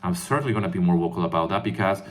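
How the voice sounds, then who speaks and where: A man in his fifties with a fairly low voice, a composer from Venezuela vlogging to his viewers. He talks earnestly and loosely, repeating words as he restarts and tagging his points with "right?". It sounds like a phone recording. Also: lively intonation, very fast. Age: 50s. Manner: earnest, repetitive restarts, frequent "right?" tags. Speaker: composer and online music educator. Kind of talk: casual talking-head vlog.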